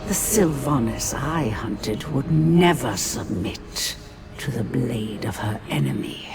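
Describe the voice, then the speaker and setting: An actress playing a stern, bitter avenger in a video game, cold, accusing and deliberate. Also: female voice, extremely low pitch, expressive, creaky, heavily acted. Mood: cold contempt. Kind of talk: dramatic video game cutscene acting. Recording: loud music over the voice.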